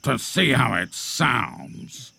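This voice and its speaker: A pompous old-school storybook rhino, super gravelly n husky, grumbly, drags his words out. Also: medium pitch, sing-song, pushed and bright, pitched above the performer's own voice, full character voice. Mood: gruff, curious. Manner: pompous, theatrical, drawn-out delivery.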